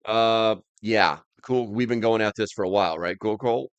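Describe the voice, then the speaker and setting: A man's voice: fairly low pitch, moderate pace, clear, resonant tone, no echo, muffled recording. A man hosting a live stream, chatting casually as he reads and answers comments in a rambling, plain-spoken, slightly grumbly way.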